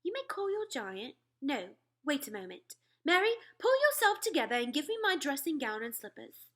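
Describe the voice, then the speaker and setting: Lady doing a posh-mannered queen from a kids book, high voice, super swoopy, bossy but amazed. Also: sing-song, normal speed. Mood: regaining composure. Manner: exclaiming, sharp commands mixed with hushed murmurs. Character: queen, poised, imperious, astonished older royal lady. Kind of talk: storybook read-aloud.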